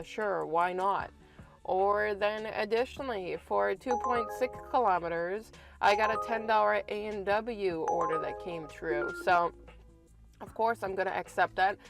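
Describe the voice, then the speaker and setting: Raspy, congested woman vlogger venting. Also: medium pitch. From Canada. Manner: frank, exasperated ranting with many restarts. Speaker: food delivery driver and vlogger. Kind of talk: casual vlog talk to camera.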